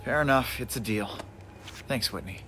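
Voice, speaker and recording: An actor playing a young, wisecracking masked superhero in a video game, earnest, with hesitant stammers and dry quips. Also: male voice, medium pitch, moderate pace, pushed and bright, slight background noise, close to an everyday voice. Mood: guarded, agreeable. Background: game music.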